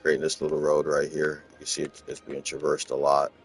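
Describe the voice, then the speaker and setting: A man from Los Angeles, casually talking through his game in a rambling, relaxed way, often repeating words. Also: extremely low pitch, fairly quick, creaky, breathy edge, soft background music. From Los Angeles, USA. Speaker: gaming channel host. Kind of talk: casual gameplay walkthrough commentary.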